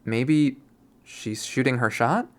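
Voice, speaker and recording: A streamer narrating a visual novel readthrough in an expressive, performative way. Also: medium pitch, slow. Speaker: video game readthrough streamer.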